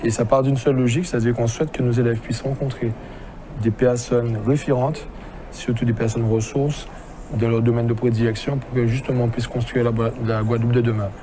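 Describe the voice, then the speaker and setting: A man, a teacher, explaining a school project in a steady, explanatory way. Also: medium pitch, fairly quick, a little hiss or hum. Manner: steady, explanatory, uses "en fait". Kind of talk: explanatory interview.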